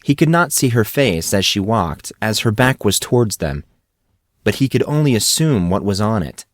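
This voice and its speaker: A man's voice: lowish voice, sing-song, normal speed, no room sound, studio quality. A man reading a scripted fantasy story as an audiobook narrator.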